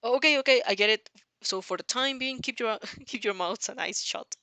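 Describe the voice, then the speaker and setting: Exasperated grown male hero, read by a woman, scolding and emphatic, forceful bright tone, dry close recording. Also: a little high, normal speed, no echo. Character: stern, exasperated adult male superhero.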